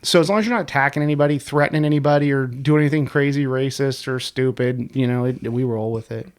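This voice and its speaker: A man in his forties with a congested, sniffly voice, telling stories in a casual, profane podcast chat as a guest. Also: medium pitch. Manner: fast, profane, animated storyteller. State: congested, sniffling from a sinus infection. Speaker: guest, website co-creator.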